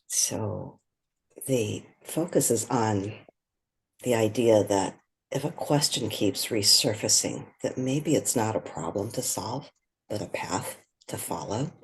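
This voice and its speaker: A meditation guide with a soft, breathy voice, speaking gently and without hurry, leaving long pauses. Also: mid-range voice, lively intonation.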